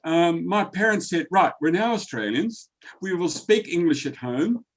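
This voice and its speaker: A man's voice: high voice, animated, measured pace. A man who grew up in the Netherlands, taking part in a group book discussion, emphatic and prone to stammering and repeating words.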